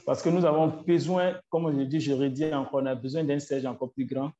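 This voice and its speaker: A man answering in a webinar interview, halting and repeating himself as he searches for words. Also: mid-range voice, fairly even intonation, dark tone. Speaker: association leader guest. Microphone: video call. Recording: echoey, muffled online call audio.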